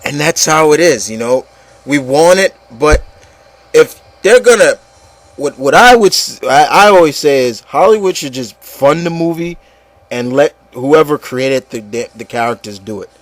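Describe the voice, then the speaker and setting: Man, slightly high voice, dry close sound, stammering, blunt, exasperated, casual opinion rant, swearing. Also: expressive, normal speed, dry recording. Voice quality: stammering, repeats words and phrases. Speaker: host of an opinion show.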